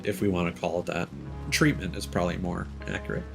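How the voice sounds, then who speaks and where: A man's voice: sits low, level tone, fast, vocal fry. Rambling male game streamer. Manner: rambling and offhand, with frequent fillers and casual swearing. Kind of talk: casual gameplay commentary. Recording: game music playing behind the voice. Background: game soundtrack guitar music.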